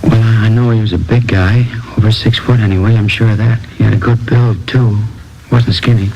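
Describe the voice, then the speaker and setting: An American actor playing a shaken, plain-spoken grocery clerk witness in a radio crime drama, halting and earnest. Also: male voice, lowish voice, fairly even intonation, creaky, a little mellow, dull audio. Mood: earnest recalling. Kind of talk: 1950s radio drama acting. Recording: noisy old radio recording.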